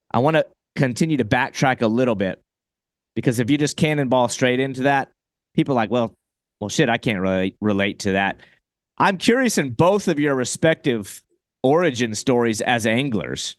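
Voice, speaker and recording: A man's voice: medium pitch, no echo. Man hosting a podcast, close dry sound. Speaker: podcast host. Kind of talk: conversational interview.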